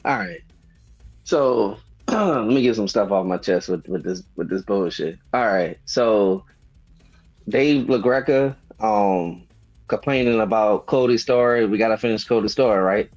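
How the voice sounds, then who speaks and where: A man's voice: fairly low pitch, unhurried, darkish tone, highs cut off, soft background music. A man joining a wrestling podcast remotely, venting with scorn and curses. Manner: scornful, swears. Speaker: podcast guest.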